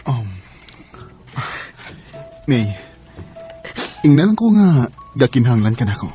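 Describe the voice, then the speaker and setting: A man acting an earnest, gentle suitor in a Bisaya radio drama, with a Cebuano accent. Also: medium pitch, sing-song, slow, faint music behind. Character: earnest, gentle male suitor courting a single mother.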